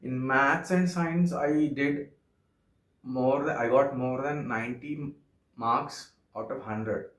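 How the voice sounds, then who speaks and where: Young Telugu-accented man, sincere and halting, mid-pitch, roomy echo. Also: fairly even intonation, a bit bright. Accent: Telugu-accented English. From Andhra Pradesh, India. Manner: earnest, halting, frequent "okay" fillers. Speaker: vlogger. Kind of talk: personal vlog monologue. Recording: echoey room.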